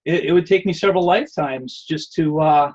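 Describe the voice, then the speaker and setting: A man's voice: on the high side, a little fast, a little mellow, dull audio. A man giving an online presentation and answering questions, rambling with many fillers and restarts. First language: not Hebrew.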